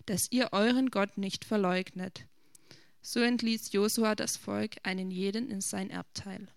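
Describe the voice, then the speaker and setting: A woman reading a long scripture passage aloud in a steady, measured way. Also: medium pitch, gravelly, no room sound, clean studio sound. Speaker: scripture reader.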